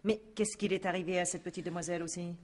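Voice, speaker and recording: A woman's voice: lowish voice, steady tone, fast talker, darkish tone, close to an everyday voice. A woman playing a self-important, gossipy painter and neighbour in a radio crime drama, with an emphatic, theatrical delivery. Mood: shocked, indignant. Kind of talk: 1950s-style French radio crime drama acting.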